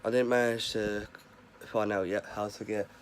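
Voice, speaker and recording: Hoarse young man, low-ish voice, chatty vlogger; loud noise behind. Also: level tone, normal speed. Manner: rambling, chatty, filler-heavy. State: hoarse with a head cold. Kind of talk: casual vlog chat.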